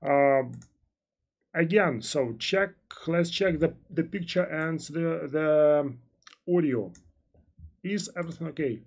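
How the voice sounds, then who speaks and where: A man's voice: a little high, slow pace, pauses often. A man with a slightly raised voice hosts a live trading stream as a financial analyst. He talks in a loose, rambling way, stacking "so" and "well" and restarting his sentences as he answers viewers' chat messages between explanations.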